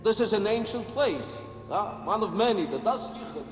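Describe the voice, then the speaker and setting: Elderly scholar, high-pitched. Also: male voice, expressive. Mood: eager, instructive. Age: older. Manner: halting, repetitive, non-native phrasing. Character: elderly male mystic scholar, gentle, eccentric guardian of ancient secrets. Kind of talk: video game cutscene acting. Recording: echoey hall with music and game effects.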